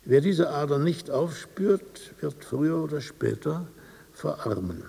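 A man playing an eminent, courteous poet in a radio drama, delivering a letter in measured, formal and gently admonishing phrases. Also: a little high, expressive, vocal fry, breathy edge, exaggerated voice.

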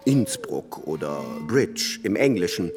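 Slow, wildly swooping pedant, a scholarly lecturer with halting delivery, radio drama in a reverberant hall. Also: male voice, on the low side, very animated, over music. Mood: absorbed, lecturing. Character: pedantic scholarly man, absorbed in place-name etymologies. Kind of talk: literary radio drama acting.

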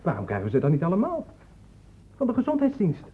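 A man's voice: high voice, wildly swooping pitch, fast, husky, full character voice. An actor playing an indignant young man in a radio play, speaking for his friends with insistent, challenging questions. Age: young adult. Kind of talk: Dutch radio drama acting. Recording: muffled, narrow-band old radio recording, noisy.

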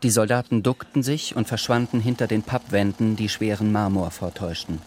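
Low-voiced male radio drama narrator. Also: steady tone, fairly quick, a little mellow, slight background noise. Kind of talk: scripted literary narration.

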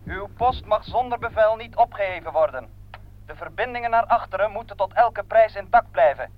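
An actor in a radio play playing a curt army lieutenant barking orders, clipped and peremptory, through a field telephone filter. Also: male voice, high voice, fairly quick. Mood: curt, commanding. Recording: tinny, hissy field telephone line.

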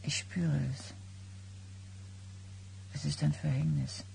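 An actress playing an exhausted, foreboding expectant wife in a radio drama, brooding in a breathy, creaky near-whisper. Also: female voice, low voice, little pitch movement, a little mellow, slight background noise, exaggerated voice, low-quality recording. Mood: fearful, brooding. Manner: slow, brooding, intense. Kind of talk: 1990s German radio drama acting.

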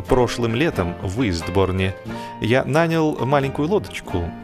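A man narrating a humorous literary story in an expressive, theatrical way, with deliberate drawn-out pauses. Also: lowish voice. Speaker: audiobook narrator. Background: music.

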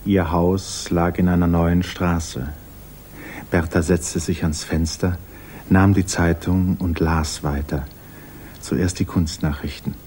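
Deep, mellow man narrating an old radio drama. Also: level tone, takes it slowly. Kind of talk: literary narration in a 1950s German radio drama. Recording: hissy 1950s radio recording.

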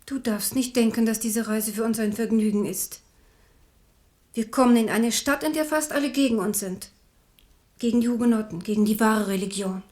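A woman acting a proud, devout queen mother, embittered and resolute, with a grave, measured delivery in a German radio play. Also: on the high side, darkish tone, lightly acted. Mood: grave, warning.

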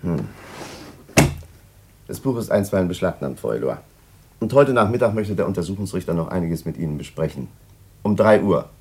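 An actor playing a businesslike, authoritative police inspector in a 1950s German radio play. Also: male voice, sits low, animated, moderate pace, pauses often, darkish tone. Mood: brisk, official.

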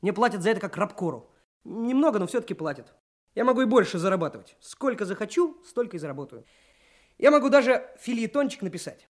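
An actor playing a brash, self-assured young Komsomol trainee detective in a Soviet radio drama. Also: male voice, high-pitched, big pitch swings, quick pace. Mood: boastful, sure. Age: young adult. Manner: brash, confident, lecturing. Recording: 1980s radio drama, music behind.

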